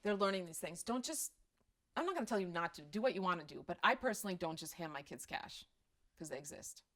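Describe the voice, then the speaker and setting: A woman's voice: on the low side, animated, quick pace, fairly bright tone. A middle-aged woman from Croatia with a slight Croatian accent, chatting casually about parenting and answering viewer questions on a live stream, candid and self-deprecating.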